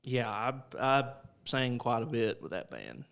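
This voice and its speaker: A man chatting easily as a guest musician, relaxed and full of fillers. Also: mid-range voice, measured pace, vocal fry, clean tone. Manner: relaxed, drawling, lots of fillers. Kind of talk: casual interview chat.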